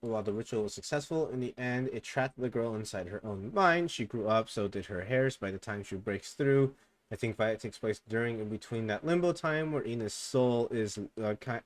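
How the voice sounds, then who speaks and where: A young man streaming, reading fan theories aloud in a low mumble and breaking into loud excited outbursts. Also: brisk.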